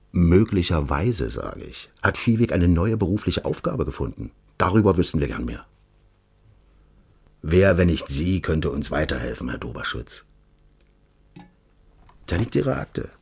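An actor playing a courteous, insistent East German official in a radio drama, with a German accent and insinuating manner. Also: male voice, sits low, big pitch swings, creaky, darkish tone, breathy edge, exaggerated voice. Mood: insistent, evasive. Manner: courteous, insistent, deliberate phrasing with repeated direct address. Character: smooth, insinuating East German official offering a sinister bargain.

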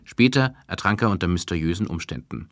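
A man narrating a scripted radio documentary as the reporter, in a sober, measured way. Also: deep voice, animated, dry recording, clean studio sound.

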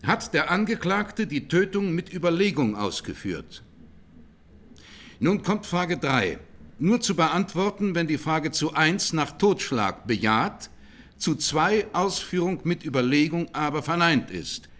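A male presiding judge speaks in a somewhat high voice. He is orderly, formal and matter-of-fact, working through procedure in a radio courtroom drama. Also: big pitch swings, measured pace, fairly bright tone. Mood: formal, businesslike.

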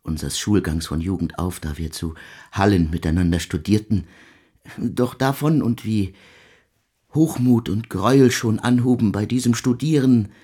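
A man playing a brooding, doomed composer who addresses his guests in halting, murmured, archaic solemnity in a radio drama. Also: lowish voice, mellow, slightly rough. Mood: solemn, murmuring. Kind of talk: German radio drama acting.

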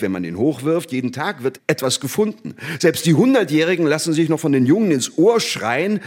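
An actor playing an enthusiastic mathematics teacher, declamatory and didactic, in a theatrical drama. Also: male voice, medium pitch, close to an everyday voice. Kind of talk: theatrical scripted performance.

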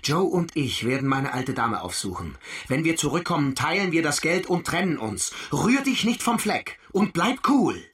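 An actor playing a bossy, jittery armed robber in a radio crime drama. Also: male voice, a little high, very animated, normal speed, few pauses, a bit bright, husky, exaggerated voice. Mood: commanding.

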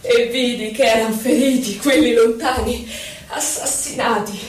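A woman's voice: on the high side, fairly even intonation, natural voice. Actress as solemn woman narrator, echoey and distant. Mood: grave, accusing. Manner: measured, declamatory, short weighted phrases. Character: solemn female poetic narrator of political betrayal and struggle. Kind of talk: theatrical poetry recitation for radio. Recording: echoey, distant, noticeable noise.

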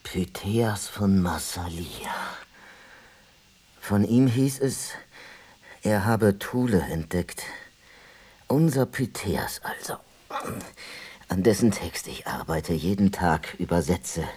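Ailing male scholar in radio drama: very slow, lowish voice, halting, introspective. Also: lively intonation, darkish tone, slightly rough, full character voice. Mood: weary, reflective. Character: ailing male archaeologist brooding on death over his translation. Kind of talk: introspective radio play monologue.

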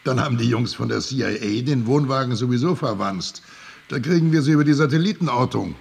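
Very gravelly, shrewd official. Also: male voice, medium pitch, brisk, darkish tone. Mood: businesslike, sly. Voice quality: gravelly, creaky. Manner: matter-of-fact, wry. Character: dry, shrewd, casually corrupt male American official. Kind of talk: radio drama dialogue in German.

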